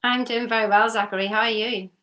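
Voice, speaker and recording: A woman in her fifties from Northern Ireland, with a Northern Irish accent, telling her story as an interview guest in a measured, reflective way. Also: fairly high pitch, steady tone, a bit bright. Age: 50s. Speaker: guest, business coach. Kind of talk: conversational interview.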